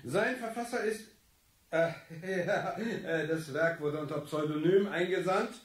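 A stiff official doing a ceremony speech, highish voice, echoey and a bit far from the mic. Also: male voice, slow pace. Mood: formal, slightly awkward. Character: formal male official announcing a literary prize to an audience. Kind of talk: ceremonial speech in a radio play. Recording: echoey room, distant from microphone.

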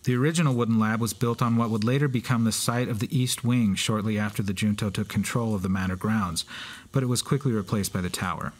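A man's voice: lowish voice, fairly even intonation, fast talker. Male podcast co-host, mid-range voice. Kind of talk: semi-scripted banter and exposition.

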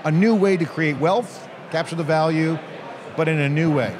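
A man's voice: a little high, normal speed, background noise. A middle-aged American man hosting an interview in a hotel hall at a conference, talking energetically and emphatically into a handheld mic. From United States. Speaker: interview host. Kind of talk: conversational interview. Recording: busy crowd chatter behind.

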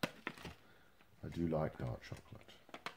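A young man talking in a casual, low-key way, caught off-mic on a noisy handheld recording. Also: drawn-out words. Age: young adult. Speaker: vlogger's partner.

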